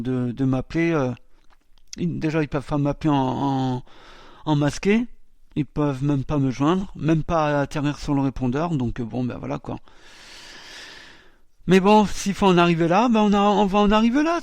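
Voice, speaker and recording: Male web radio host, casual and hesitant, higher pitch, dry close sound. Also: a little mellow, no echo. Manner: hesitant, many filler sounds.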